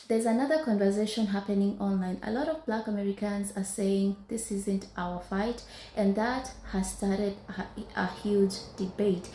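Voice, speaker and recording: A woman's voice: mid-range voice, warm tone. A woman opening a YouTube video in a casual, conversational way, with small restarts as she talks. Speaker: video commentator introducing the topic. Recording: roomy echo.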